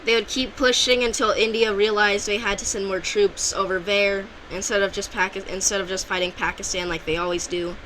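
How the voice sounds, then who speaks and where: A woman talks casually over an alternate-history map, keeping her voice bright and clear at a middling pitch. She rambles, backtracks and corrects herself often, like unscripted commentary. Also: fairly even intonation, fairly quick, hardly any pauses, slight background noise, poor audio. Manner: loose and rambling, with frequent restarts and self-corrections.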